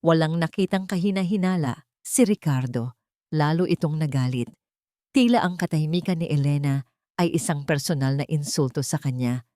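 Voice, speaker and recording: Low-voiced woman narrating a drama. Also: moderate pace, warm tone, no echo, studio quality. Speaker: storyteller. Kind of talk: dramatic scripted story narration.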